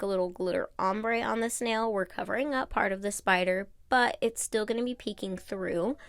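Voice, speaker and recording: Woman vlogger, stuffy nasal allergy voice, rambling casual chat, mid pitch, dry close sound. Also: no echo. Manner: chatty, rambling with fillers. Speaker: nail tutorial vlogger. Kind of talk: casual voice-over tutorial.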